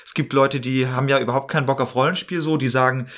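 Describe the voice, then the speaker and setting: A man's voice: mid-range voice, fairly even intonation, quick pace, a bit bright. A man chatting casually as a podcast guest, explaining things in long, looping sentences. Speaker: podcast guest, actor and improv performer.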